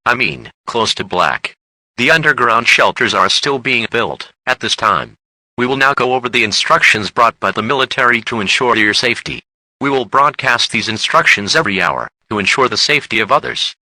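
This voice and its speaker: A man's voice: mid-range voice, pushed and bright, no room sound, low-quality recording. A man reading a scripted emergency alert as a broadcast announcer.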